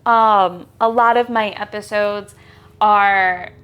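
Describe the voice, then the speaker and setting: A woman's voice: mid-range voice, steady tone, slow, clear, resonant tone, muffled recording. A young woman from Michigan with an American accent, hosting a solo podcast in an earnest, encouraging, personal way. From Michigan, United States. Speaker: podcast host.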